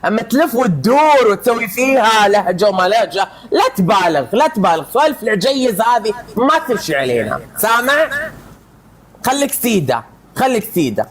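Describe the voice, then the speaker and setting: A man hosting a live stream, trading heated banter and scolding in quick repeated phrases. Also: high-pitched, big pitch swings.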